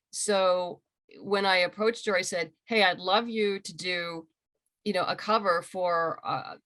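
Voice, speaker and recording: A middle-aged woman speaks as a guest in a conversational interview over a video call. Her voice sits a little low for a woman. She talks informally and chattily, with a self-deprecating touch. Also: fairly bright tone. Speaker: interview guest, comic book creator and writer.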